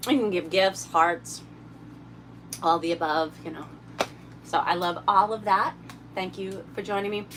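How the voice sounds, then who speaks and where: A woman hosting a casual livestream while tidying her RV, a little hoarse from a summer cold, chatting and thanking viewers by name. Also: medium pitch, steady tone, measured pace, long pauses, background noise. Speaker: livestream host. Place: inside an RV.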